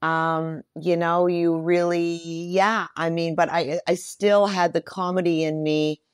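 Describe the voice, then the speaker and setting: A woman in her sixties speaking candidly and reflectively as an interview guest, with measured emphasis. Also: fairly low pitch, flat delivery, smooth, no room sound, clean studio sound.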